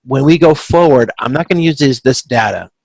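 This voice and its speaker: A man with a creaky voice working as an instructor, giving an informal, explanatory step-by-step tutorial. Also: mid-range voice, expressive, brisk, a little mellow, dry recording, rough recording. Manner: explanatory, checks in with frequent "okay". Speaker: instructor leading a supply chain class tutorial. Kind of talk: informal step-by-step tutorial over video conference. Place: close, dry-sounding video call.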